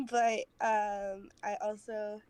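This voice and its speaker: A woman's voice: mid-range voice, level tone, very slow, a little mellow, clean tone. A woman, a voice actor, chatting casually and somewhat apologetically on a group call while she has a cold. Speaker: voice actor and artist. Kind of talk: casual chat. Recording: faint background noise on voice call.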